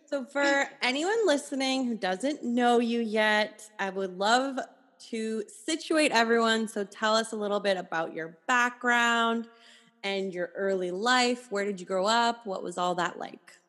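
A woman's voice: fairly high pitch, measured pace. Woman podcast host, encouraging and reflective, voice has a long reverby tail. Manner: warm, affirming, reflective. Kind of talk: casual podcast interview.

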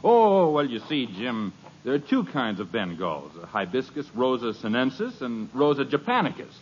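An actor playing a fussy neighbor who is a pedantic, polite amateur gardener, in 1950s radio comedy. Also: male voice, medium pitch, very animated, normal speed, natural voice. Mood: prim. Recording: muffled old radio broadcast.

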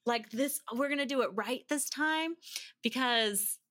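Bright, high-voiced woman telling stories. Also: measured pace, no echo, studio quality. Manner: animated retelling with acted-out quotes and frequent "like". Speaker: podcast guest. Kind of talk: casual storytelling in conversation.

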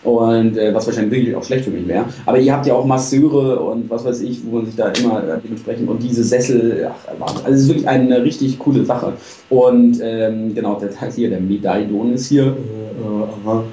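A man's voice: mid-range voice, steady tone, a little fast, dark tone, recorded from a distance. A tired man hosting a casual chat show, rambling with many fillers and stumbles. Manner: rambling, filler-heavy, admits stuttering. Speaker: show host. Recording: roomy, from off mic.

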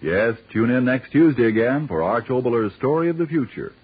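A man's voice: mid-range voice, animated, normal speed, breathy edge. An American man as a 1940s radio announcer, reading an advertisement in an emphatic salesman's delivery. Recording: thin, tinny 1940s radio broadcast.

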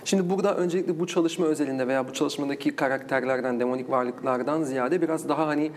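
A man's voice: mid-range voice, fast talker, a little hiss or hum. A man speaking as an interview guest and researcher, explaining in a discursive academic way with rhetorical questions.

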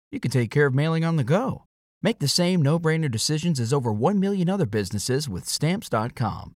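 A man's voice: on the high side, no echo, studio quality. A man reading a scripted advertisement as a voice-over. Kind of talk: scripted ad narration.

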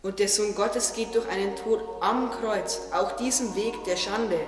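A man playing a naive, gentle talking tree in a radio play, speaking in earnest, questioning tones. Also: sits high, a little slow. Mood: troubled wondering. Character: naive, wondering, gentle young-hearted tree. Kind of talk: German religious audio drama acting. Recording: echoey hall, distant mic, music underneath.

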